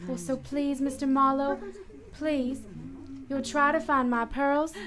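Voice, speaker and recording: An actress playing a sentimental, distressed wife pleading with a detective in a melodramatic radio play. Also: female voice, high-pitched, fairly even intonation, slow. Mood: wistful pleading. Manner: pleading, dramatic repetition. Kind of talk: melodramatic radio drama acting.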